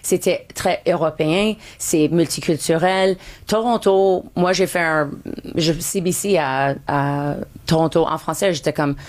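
Anglophone woman comedian in French, lowish voice. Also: measured pace. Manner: second-language French, mixes in English words, frequent restarts. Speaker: comedian guest. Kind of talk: casual interview chat.

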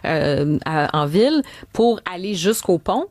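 A woman from Montreal with a Québécois French accent, hosting a casual talk radio show in an animated, emotional way. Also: on the low side, unhurried. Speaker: radio host.

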